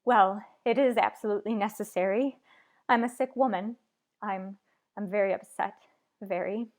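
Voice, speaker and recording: A woman's voice: medium pitch, steady tone, normal speed, natural voice. A woman playing a nervous, imperious invalid in an old-time radio thriller, agitated and pleading. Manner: demanding, agitated, breathless pleading.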